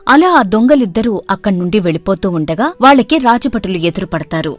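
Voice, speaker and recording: A woman storyteller narrating a comic bedtime tale at a steady pace. Her voice sits at an ordinary pitch, and soft music plays faintly behind her. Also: fairly quick.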